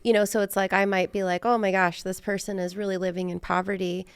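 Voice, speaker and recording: Clear-voiced woman hosting, close dry sound. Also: medium pitch, little pitch movement, quick pace, no room sound. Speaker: podcast host. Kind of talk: casual, reflective interview conversation.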